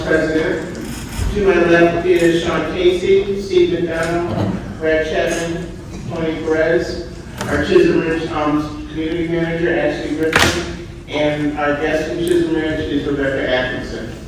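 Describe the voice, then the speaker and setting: A woman chairs a neighborhood meeting, calling it to order in a formal tone with occasional ums. She is heard from a distance in a very echoey room. Clear background noise runs underneath. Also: sits low, fairly even intonation, measured pace. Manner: formal, reading names with ums. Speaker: homeowners association president. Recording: echoey room from afar, noisy.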